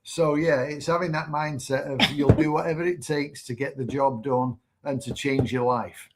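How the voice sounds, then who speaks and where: Male co-host, relaxed and conversational, mid-pitched everyday voice, casual livestream talk. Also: normal speed, heavy background noise. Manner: laid-back, conversational, adds to her points.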